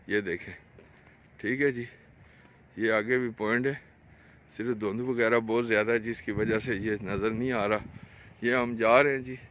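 A man with a Pakistani accent gives casual, repetitive vlog commentary as a fishing vlogger, pointing things out to viewers into a handheld phone outdoors. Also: a bit bright. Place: outdoors on an open road along a dam. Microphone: handheld phone camera, speaker behind it. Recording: noisy outdoor phone video, ambient rumble.

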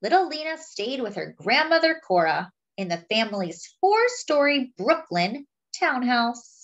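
A woman reading a children's picture book aloud as a story-time host, with deliberate phrasing for young listeners. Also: on the high side, big pitch swings, highs cut off.

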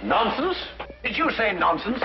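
A brilliant English gentleman detective from a 1950s drama. His voice is fairly high and swings animatedly as he lectures with pompous precision. The sound is a dull old broadcast track. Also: sing-song, unhurried, music in the background, exaggerated voice. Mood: indignant. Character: brilliant, haughty English gentleman detective, quick to take offence. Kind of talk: 1950s television drama acting.

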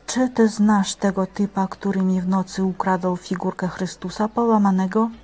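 A woman playing a humble, devout monk in a cartoon, speaking meekly and deliberately. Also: mid-range voice, steady tone, a little mellow, slight background noise, close to an everyday voice. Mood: wary, plain. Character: humble, devout monk talking with a Christ figurine. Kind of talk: amateur stage-play acting in a cartoon.

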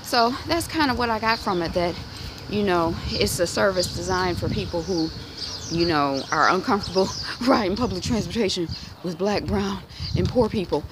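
A woman's voice: lowish voice, moderate pace. A woman in her thirties talks casually into a phone held at arm's length while walking down a residential street, musing aloud with many fillers and restarts. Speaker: vlogger. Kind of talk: casual walk-and-talk monologue. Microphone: phone camera at arm's length. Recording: outdoor street noise behind.

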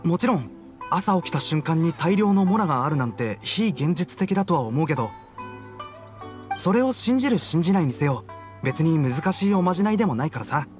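A man's voice: a little high, big pitch swings, fairly quick, faint music behind. A man playing a modest, easygoing young townsman in an anime game, casual and reasonable. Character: modest, easygoing young male townsman hoping to pass exams. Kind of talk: Japanese anime game voice acting.

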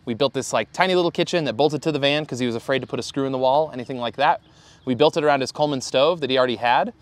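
A man's voice: mid-range voice, rapid-fire. A man in his thirties, a van company founder, talking casually to camera in an interview with easy fillers. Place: inside a camper van and outdoors by the van.

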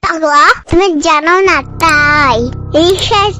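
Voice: sits high, fairly even intonation, a little slow, pushed and bright. A cartoon voice of a bossy little girl, self-assuredly telling absurd tall tales in a childish, scolding manner. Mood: bossy, indignant. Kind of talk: comic cartoon dialogue. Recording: dull lo-fi audio, music behind.

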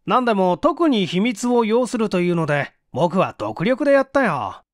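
A male narrator acts an earnest young man, a researcher in an audiobook mystery. He sounds eager, with a raised, lively pitch and a dry, close sound. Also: moderate pace, no room sound.

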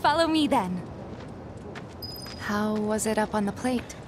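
A woman with an American accent playing a kind, caring young barkeeper in a video game, gentle and a little hesitant. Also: mid-range voice, expressive, takes it slowly, noisy background. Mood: gently hesitant. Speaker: game character actor.

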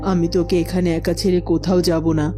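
A woman's voice: lowish voice, level tone, fast, over music, natural voice. A woman voicing a worried, protective mother in a fairy-tale cartoon, speaking emphatically. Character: anxious, protective mother of a young heroine.

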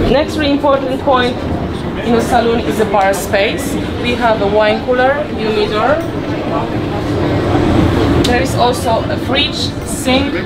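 A young woman presenting a walkthrough tour, listing features item by item in a measured way. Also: a little high, rough recording. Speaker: yacht company representative giving a tour. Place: inside a catamaran's saloon and cabins at a boat show. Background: music.

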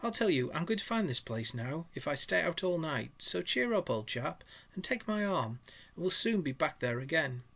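A man's voice: fairly high pitch, very animated, moderate pace, gravelly, a bit bright, pitched above the performer's own voice, heavily acted. A man voicing a kindly male water rat who talks sympathetically and cheers his friend heartily in a storybook reading. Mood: hearty.

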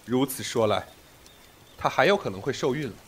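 An actor playing a proud, commanding sect master in a period audio drama, with imperious, formal speech. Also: male voice, medium pitch, lively intonation, fairly quick, background noise, close to an everyday voice. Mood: cool, probing.

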